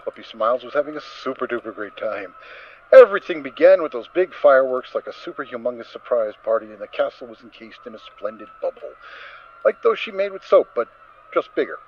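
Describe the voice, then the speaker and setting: A male narrator gives a dramatic story reading with theatrical emphasis. His pitch is mid-range and swings freely with the telling, on a slightly muffled recording with faint noise. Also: very animated, brisk.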